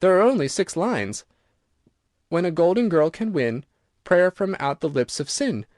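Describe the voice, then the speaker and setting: Picture an earnest, prim little girl from a storybook tale, her voice lifted high and brisk as a male reader puts her on, sounding close and dry with no room around it. She talks in a matter-of-fact way. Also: very animated, a little mellow, dry recording. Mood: eager. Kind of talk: audiobook reading.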